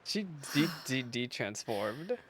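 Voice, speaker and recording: A woman giving casual, expressive reaction commentary as she plays a video game, full of exclamations and playful slang. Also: a bass voice, takes it slowly, a little hiss or hum. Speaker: gaming streamer reacting to a game. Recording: loud game music under the voice. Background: game music and dialogue.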